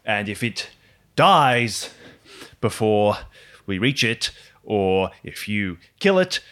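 A man voicing a cold, calculating computer intelligence in a tabletop roleplay, speaking in halting, analytical phrases. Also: fairly low pitch, big pitch swings, a bit bright, exaggerated voice. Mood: stern, urgent.

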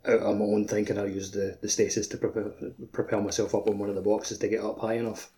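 A man co-hosting a podcast, chatting casually about a video game in a halting way, peppered with "like". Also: fairly low pitch, little pitch movement, mellow, slight background noise. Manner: halting, says "like" constantly. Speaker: podcast co-host.

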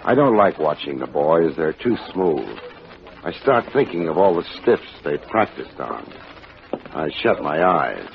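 An American actor playing a wry, unflappable private detective in a 1950s radio drama, deadpan and wisecracking. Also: male voice, rumbling low, unhurried, gravelly, slight background noise, exaggerated voice. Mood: wry, brooding. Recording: dull old radio recording, music behind.

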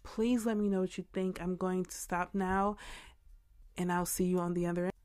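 A young American woman hosting a podcast, speaking softly with many ums and restarts in a casual interview chat. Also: on the low side, steady tone, normal speed. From Michigan, USA. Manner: soft-spoken, with frequent ums and restarts. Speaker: podcast host.

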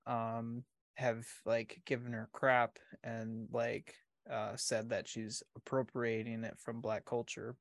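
A man's voice: mid-range voice, flat delivery, slow pace, vocal fry, dry recording. Easygoing, giggly man in a dry room. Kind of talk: casual podcast chat.

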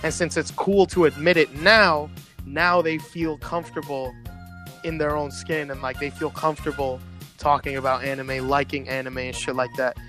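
A man's voice: fairly high pitch, flat delivery, moderate pace, pushed and bright, soft background music. A man whose first language is Spanish, chatting casually as a podcast guest and telling a rambling story full of slang. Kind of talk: casual chat.

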